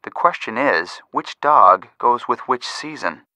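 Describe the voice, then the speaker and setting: Bright, animated young American man detective helper. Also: medium pitch, unhurried, dry recording. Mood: wry, helpful. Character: upbeat young male amateur detective giving helpful hints. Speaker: detective helper giving hints. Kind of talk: scripted video game voice acting.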